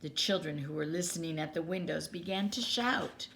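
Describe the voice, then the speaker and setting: A woman's voice: sits low, normal speed, a bit bright. A woman storyteller reading a children's story aloud expressively. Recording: clearly noisy background.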